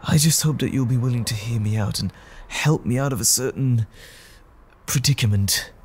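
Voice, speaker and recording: Casting: male actor as troubled gentleman scholar, medium-pitched and husky, formal, hesitant, earnest, for audio roleplay. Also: normal speed, natural voice. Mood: remorseful, earnest. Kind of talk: audio roleplay drama acting.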